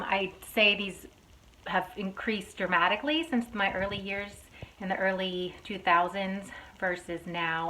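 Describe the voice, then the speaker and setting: A woman answering interview questions in a measured, thoughtful way, often pausing with ums. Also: fairly low pitch, fairly bright tone, rough recording.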